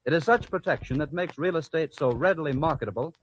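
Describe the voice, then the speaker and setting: A man's voice: on the high side, fast talker. A man reading a formal script as an old-time radio announcer. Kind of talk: formal scripted radio narration and sponsor message. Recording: dull, noisy old radio recording.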